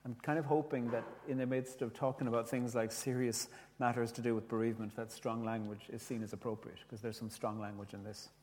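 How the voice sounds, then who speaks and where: A man with an Irish accent, whose first language is Irish, gently telling a reflective story with restarts and reading his own poetry. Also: medium pitch, level tone, a little fast, hardly any pauses, long reverb tail, slight background noise. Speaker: speaker, poet.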